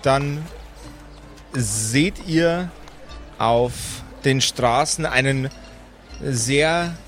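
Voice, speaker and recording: A man's voice: medium pitch, drawn-out words, fairly bright tone, faint music behind. A man narrating and chatting as game master of an improvised tabletop roleplay game.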